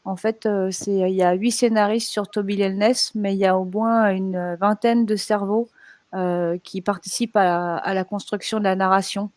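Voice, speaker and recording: A woman's voice: mid-range voice, steady tone, moderate pace, a little mellow, dry recording. Dry-sounding woman explaining her work. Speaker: animation production panelist. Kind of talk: panel discussion, explanatory.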